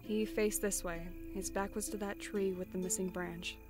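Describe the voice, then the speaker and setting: A woman's voice: mid-range voice, flat delivery, moderate pace, darkish tone, natural voice. Stern fantasy investigator played by a woman, music behind. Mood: analytical. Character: stern, accusing female investigator in a fantasy world. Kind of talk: audio drama acting. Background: background music.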